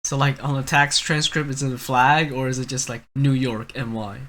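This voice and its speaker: A man's voice: mid-range voice, little pitch movement, moderate pace, fairly bright tone. A young man co-hosting a podcast with teasing, joking banter. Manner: teasing, jokey. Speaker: podcast co-host.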